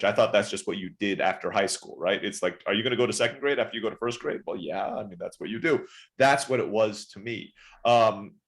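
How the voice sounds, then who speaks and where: A man in his forties, born in the Philippines and raised in Turkey, chatting quickly as a podcast guest telling his life story. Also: lowish voice. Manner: rapid, chatty, often saying "right?". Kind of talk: casual interview storytelling.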